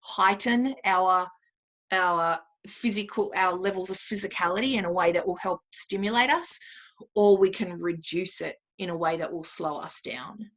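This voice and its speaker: A woman's voice: on the low side, normal speed, fairly bright tone. A woman speaking as an interview guest, explaining and giving advice in a conversational, instructive way. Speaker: interview guest, music therapist.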